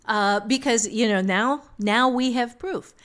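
A woman's voice: fairly high pitch, measured pace, a bit bright. Emphatic woman co-hosting a casual podcast. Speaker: podcast co-host. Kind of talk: casual unscripted discussion.

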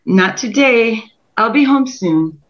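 A loving young Tanzanian mother, played by a woman at a table read. She speaks slowly in a fairly high voice, through dull video-call sound. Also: animated. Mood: tender. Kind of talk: online table read of a screenplay. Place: indoor room, video call.

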